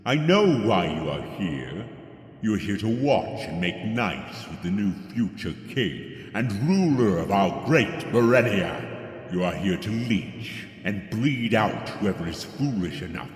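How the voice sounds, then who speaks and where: A man's voice: lowish voice, very animated, a little slow. A man playing a menacing tyrant king in a fantasy audio drama, declaiming grandly in an echoing hall. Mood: grand, contemptuous. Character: menacing tyrant king addressing his guests. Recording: large echoing hall with long reverb.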